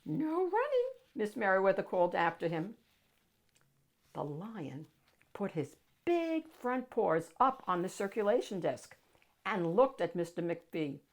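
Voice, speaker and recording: A woman narrating a children's picture book aloud with dramatic, expressive storytelling. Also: on the low side, measured pace.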